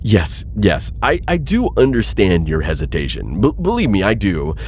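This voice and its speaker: A man's voice: on the low side, wildly swooping pitch, normal speed, a little hiss or hum. A man playing an anxious, pleading insectoid alien bounty hunter in a sci-fi audio roleplay, flustered, hesitant and formally wordy. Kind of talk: scripted audio roleplay addressed to listener.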